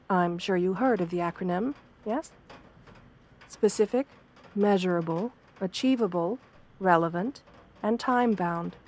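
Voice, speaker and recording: A kind wolf girl therapist, acted by a woman for an ASMR roleplay. She speaks softly at a medium pitch. Her manner is gentle and professional, measured and reassuring, like a patient counsellor. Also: slight background noise, close to an everyday voice. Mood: encouraging, instructive. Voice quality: soft-spoken. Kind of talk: soft-spoken ASMR roleplay.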